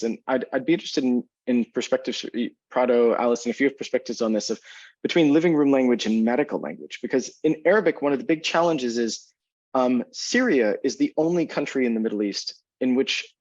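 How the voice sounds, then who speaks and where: A man's voice: medium pitch, animated, fairly quick, darkish tone, no echo, dull audio. A man, a physician on a panel, speaking thoughtfully and searching for words, often restarting his sentences over a video call. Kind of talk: panel discussion.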